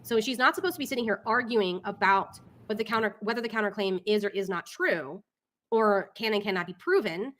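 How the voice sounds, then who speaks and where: A woman's voice: medium pitch, fairly quick, fairly bright tone, a little hiss or hum. A woman hosting a casual live talk show, reading documents and commenting in an exasperated, opinionated way.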